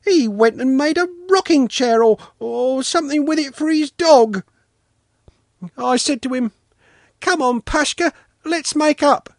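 A man's voice: extremely high pitch, a little slow, no room sound, studio quality, pitched above the performer's own voice. A man voicing an indignant, wailing small boy in a storybook. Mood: offended, wailing. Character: pop-eyed small boy, wailing and deeply offended. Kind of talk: storybook character reading.

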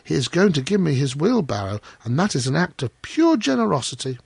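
A man's voice: on the high side, big pitch swings, fairly quick, vocal fry, breathy edge, dry recording, exaggerated voice. A man voicing a shy, timid, naive little man in a storybook, meek and eager to please. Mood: naive, hopeful. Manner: meek, deferential. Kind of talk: storybook character voice.

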